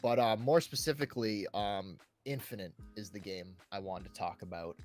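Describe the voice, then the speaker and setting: A man's voice: lowish voice, normal speed. A man co-hosting a podcast, chatting casually and enthusiastically about favourite games, often saying "like" and "right". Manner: enthusiastic, peppers talk with "right" and "like". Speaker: podcast co-host. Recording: background music under the talk. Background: background music.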